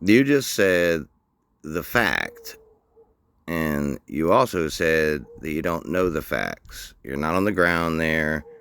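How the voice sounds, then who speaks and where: A man's voice: deep voice, fairly bright tone. A man hosting a commentary show, mocking the clips he plays with dry sarcasm. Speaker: commentary host.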